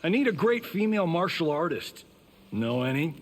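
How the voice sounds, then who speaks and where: A man's voice: a little high, sing-song, moderate pace, in a large hall. An actor voicing a smug, brash male movie star in a video game.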